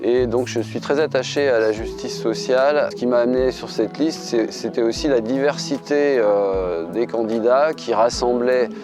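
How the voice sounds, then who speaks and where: A man in his fifties making a steady, matter-of-fact campaign statement. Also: medium pitch, normal speed, hardly any pauses. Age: 50s. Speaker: retired trade unionist, election candidate. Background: music.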